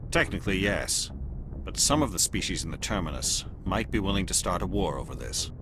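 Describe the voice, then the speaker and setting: A shrewd, appraising alien agent with a low voice. He speaks formally and deliberately, with cool superiority, in a sci-fi game style over a clear ship rumble. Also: sing-song. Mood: grave, explaining. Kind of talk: video game dialogue acting.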